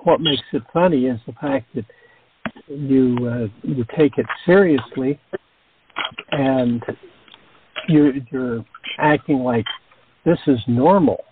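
A man with a medium-pitched voice talks slowly and deliberately, pausing between short fragments. It is casual interview talk on a tinny phone line with light noise. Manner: halting, deliberate. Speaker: cast member.

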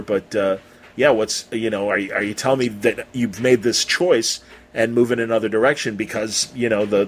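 A man hosting a casual podcast interview, talking in an effusive, rambling, halting way with some mild swearing. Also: fairly low pitch, a little hiss or hum. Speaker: podcast host interviewing a guest.

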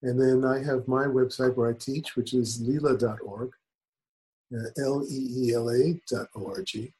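An older man who is a spiritual teacher and author, answering interview questions in a measured, thoughtful way. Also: mid-range voice, steady tone, dark tone, rough recording.

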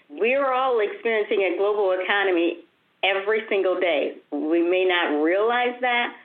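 A woman hosting an interview, chatting in a conversational way with frequent restarts and repeated words. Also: mid-range voice. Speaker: host. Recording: tinny phone line.

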